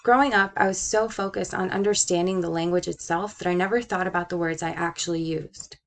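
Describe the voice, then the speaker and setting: Low, gravelly-voiced young woman narrating. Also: fairly even intonation, a little fast. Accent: slight Brooklyn-tinged American. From Puerto Rico. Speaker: teacher. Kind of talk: personal narration read from a script.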